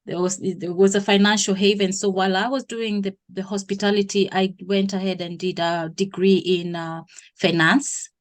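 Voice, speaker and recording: A woman's voice: medium pitch, steady tone, normal speed. Kenyan woman in her 30s on a podcast, long answers, lots of um and restarts. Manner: hesitant, self-correcting, explains at length. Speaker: guest. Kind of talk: interview conversation.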